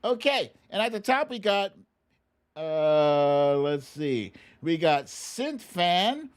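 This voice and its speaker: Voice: medium pitch, big pitch swings, slow, clear, resonant tone. A livestream host chatting casually and reading out names in a playful, informal, self-deprecating way. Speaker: livestream host running a song-guessing game.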